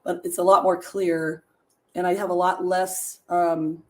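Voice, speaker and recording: A middle-aged woman with a raspy voice hosting a casual live stream, chatting with viewers and reading trivia and short stories aloud. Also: fairly low pitch, flat delivery, measured pace. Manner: chatty, laughs often, reads facts and stories to viewers.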